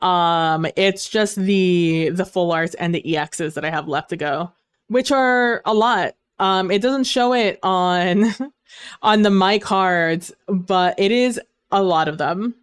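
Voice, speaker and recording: A woman streamer talks casually through a headset microphone, rambling along with plenty of fillers. Her voice is smooth and resonant, though she is losing it, and the sound is dry and close. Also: on the low side, slow pace, dry recording. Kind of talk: casual gaming stream chat.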